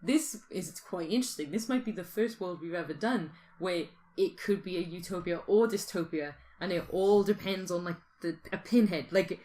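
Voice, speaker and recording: A woman co-hosting a podcast, musing out loud in casual, teasing back-and-forth chat. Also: fairly low pitch, normal speed, few pauses, slight background noise. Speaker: podcast co-host.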